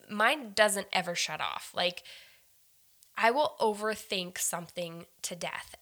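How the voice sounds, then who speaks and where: A woman's voice: medium pitch, sharp tone. A woman hosting a faith-based podcast, upbeat and earnest, talking to listeners like friends, a bit nasal and stuffed up from a head cold. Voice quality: slightly nasal. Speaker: podcast host.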